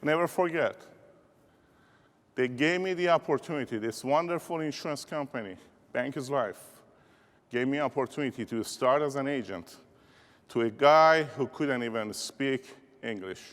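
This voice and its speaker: A man from Iran with a Persian accent, giving an energetic keynote speech and rallying the audience in a large conference hall. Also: mid-range voice, normal speed, pauses often. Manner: rallying, call-and-response with audience. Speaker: motivational speaker. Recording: reverberant hall.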